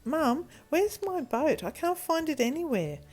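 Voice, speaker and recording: Woman putting on a whiny, high-pitched spoiled little boy. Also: sing-song, a little slow, darkish tone. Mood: frantic. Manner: whiny, demanding exclamations. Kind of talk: children's storybook character voice.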